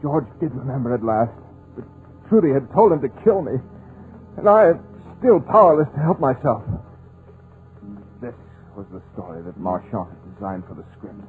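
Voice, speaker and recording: A man's voice: mid-range voice, big pitch swings, moderate pace, long pauses, natural voice, rough recording. An actor playing a terrified, paralyzed male writer narrating in a fearful voice, in 1940s radio acting. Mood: dread. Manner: frantic then hushed, fearful narration. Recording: old radio recording with music.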